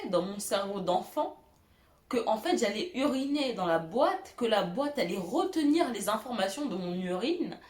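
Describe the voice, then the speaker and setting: A young woman telling funny childhood stories to her viewers, self-mocking, giggling and pausing on fillers. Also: a little high, reverberant. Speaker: YouTuber. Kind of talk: storytelling vlog.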